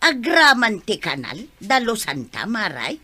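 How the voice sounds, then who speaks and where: Casting: an eager Ilocano housewife, hard-working, sharp bright voice, village comedy drama. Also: female voice, mid-range voice, huge pitch swings, a little slow, full character voice. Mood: agreeable.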